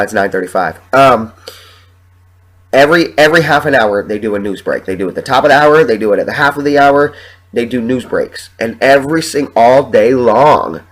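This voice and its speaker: A young man hosting his own podcast while sick with the flu, sniffling and talking in a halting, rambling, emotional way with plenty of swearing. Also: medium pitch, rough recording. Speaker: podcast host. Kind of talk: solo podcast monologue.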